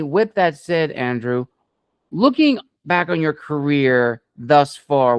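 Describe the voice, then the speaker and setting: A man hosting an interview podcast, asking long questions in an earnest, measured and somewhat formal way. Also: on the high side, clean tone, no room sound. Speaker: podcast host, interviewer and author.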